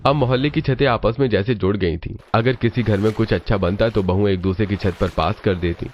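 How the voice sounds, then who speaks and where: A man's voice: on the low side, a little fast, a little hiss or hum. A man narrating a scripted cartoon story as its storyteller.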